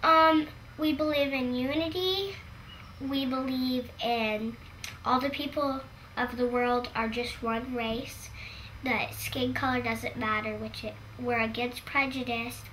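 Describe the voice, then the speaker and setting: A young woman explaining her beliefs simply and earnestly in an interview, pausing often. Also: a little high, steady tone, a bit bright, a little hiss or hum, poor audio. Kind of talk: documentary interview.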